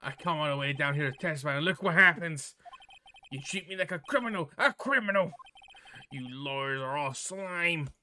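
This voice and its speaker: A man puts on an indignant male witness from a courtroom video game. He rants in a high, bright, forceful voice, repeating himself and accusing loudly. Music plays behind him. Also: animated, moderate pace, a little hiss or hum. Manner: ranting, shouting, repeating words.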